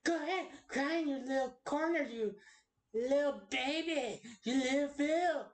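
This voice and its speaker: A woman's voice: high voice, measured pace, voice pushed up. A woman voicing a cruel, scornful unicorn parent who berates a child with harsh contempt in an amateur cartoon style. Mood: shouting, taunting. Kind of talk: amateur cartoon villain voice acting.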